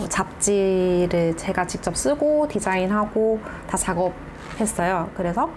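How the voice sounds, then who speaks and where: A woman's voice: medium pitch, darkish tone, a little hiss or hum. A young woman in her twenties, a job seeker, talking earnestly and hesitantly in an interview. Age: 20s. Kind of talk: documentary interview.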